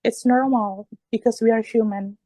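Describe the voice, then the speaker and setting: High-voiced Indonesian woman, dry close sound. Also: steady tone, normal speed, dark tone, no room sound. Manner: hesitant, repetitive phrasing in earnest, encouraging talk. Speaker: English learner in an online discussion group. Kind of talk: motivational discussion in an online meeting.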